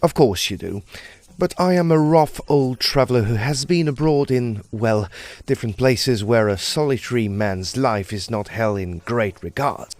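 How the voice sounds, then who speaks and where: An actor playing a wary, rough old traveller, curt and guarded, in a period gothic audio drama. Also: male voice, mid-range voice, lively intonation, moderate pace, darkish tone, lightly acted. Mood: brisk, wary.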